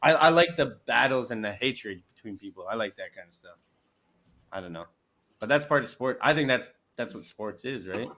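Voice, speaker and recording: A man's voice: mid-range voice, expressive, moderate pace, a bit bright. A young Canadian man hosting a casual sports chat show, talking in loose rambling restarts with easy laughs.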